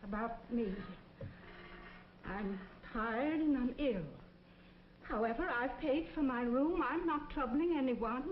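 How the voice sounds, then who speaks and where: A woman's voice: high voice, slow pace. An actress playing a sick, weary, proud and lonely woman in a 1940s film melodrama, stubborn and plaintive. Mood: weary, defiant. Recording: dull, echoey, noisy vintage film soundtrack.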